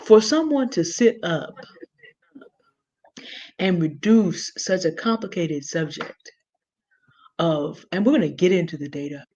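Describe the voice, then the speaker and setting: A woman with a big, low contralto voice, talking through a point deliberately and earnestly as a panelist in an online discussion. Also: animated, dark tone, muffled recording. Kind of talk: measured explanatory talk.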